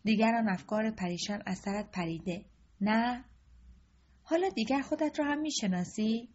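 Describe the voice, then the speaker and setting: A woman voicing an aging male king who is commanding and fatherly, with a husky voice on a dull lo-fi recording. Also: fairly high pitch, pitched above the performer's own voice, lightly acted. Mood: soothing. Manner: imperious, loud commands.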